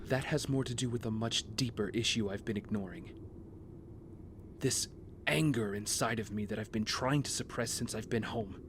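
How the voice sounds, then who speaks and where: A man acting a brooding, guilt-ridden young vigilante hero in an audio drama, speaking in an earnest, measured, confessional way. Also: on the low side, background noise, lightly acted. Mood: troubled, confessional. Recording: music bed under the voice.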